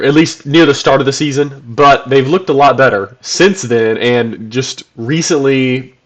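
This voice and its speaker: A man hosting his own basketball channel, sounding congested from a cold, talking casually with lots of ums and restarts. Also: mid-range voice. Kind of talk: casual solo commentary video.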